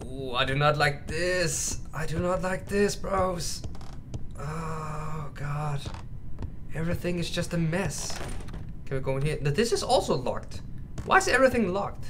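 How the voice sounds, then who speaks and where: Nervous man gamer, lively high-ish voice. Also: a little slow. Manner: nervous, addresses viewers as bros and mate. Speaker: gaming YouTuber. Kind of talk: casual horror game playthrough commentary. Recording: clear background noise with game ambience.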